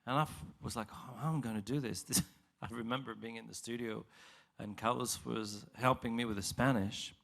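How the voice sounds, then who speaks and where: A man who sings in a vocal group, answering interview questions in a halting way and laughing between thoughts; English is not his first language. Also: medium pitch, fairly even intonation, vocal fry, fairly bright tone.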